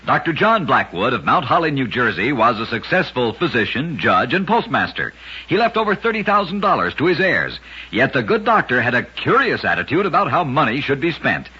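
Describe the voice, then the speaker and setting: A man with a husky voice giving dramatic scripted narration for an old radio show. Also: medium pitch, sing-song, brisk, sharp tone. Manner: dramatic storytelling delivery. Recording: dull lo-fi old radio recording.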